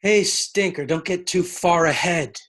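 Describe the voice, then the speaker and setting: A man's voice: high-pitched, measured pace, fairly bright tone. An actor playing a loyal, protective male hobbit who talks bluntly and earnestly. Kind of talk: film acting.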